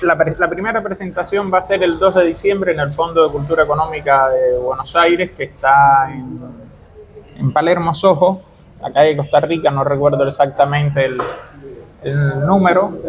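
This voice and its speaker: A man with a Cuban accent giving discursive, detailed, explanatory answers as an author being interviewed. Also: a little high. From Cuba.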